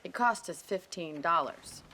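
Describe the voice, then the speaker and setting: A forthright young American ranch woman from a western game. Her voice is bright and lively, swinging in pitch as she teases and needles with dry wit. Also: unhurried, slight background noise, exaggerated voice. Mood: blunt, scolding. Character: wry, plain-spoken young frontier ranch woman. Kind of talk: cinematic western game acting.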